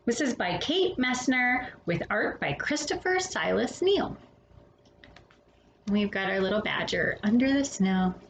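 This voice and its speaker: A woman hosting a storytime, reading a children's picture book expressively with dramatic pauses and casual asides. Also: medium pitch. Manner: animated, dramatic pauses between phrases. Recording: roomy echo with faint background noise.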